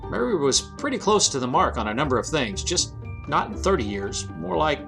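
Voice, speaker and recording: A man's voice: on the high side, sing-song, a little fast, creaky. A man giving scripted introduction and narration as the announcer of a radio show. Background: music.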